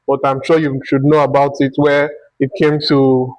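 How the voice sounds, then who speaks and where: A man's voice: mid-range voice, level tone, normal speed, a little mellow, dry recording, low-quality recording. A man with a Nigerian accent hosting a conversational interview, thinking aloud in long, winding turns. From Akwa Ibom, Nigeria. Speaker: interview host.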